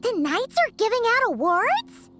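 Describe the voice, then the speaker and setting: A woman's voice: sing-song, a little slow, a bit bright, exaggerated voice. A woman voicing a tiny, childlike, cheeky fairy companion in an anime video game. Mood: curious, cheeky. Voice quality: squeaky, childlike. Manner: third-person self-reference, exclamatory, sassy. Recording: game music behind voice.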